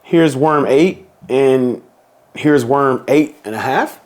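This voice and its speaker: A man reading a children's picture book aloud in a slow, playful storybook manner. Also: medium pitch, darkish tone.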